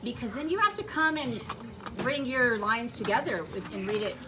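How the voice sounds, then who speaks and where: A woman's voice: a little high, lively intonation, normal speed, music in the background. A woman school teacher giving simple, directive step-by-step instructions to a class. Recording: noisy classroom full of children's chatter. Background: children talking and reading aloud.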